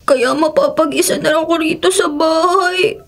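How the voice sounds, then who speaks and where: A woman's voice: very high-pitched, normal speed, gravelly, fairly bright tone, full character voice. A woman playing a sad, anxious little boy in a Filipino radio drama, speaking politely and plaintively in a childlike voice with a Filipino accent. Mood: worried, sad. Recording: music under the voice.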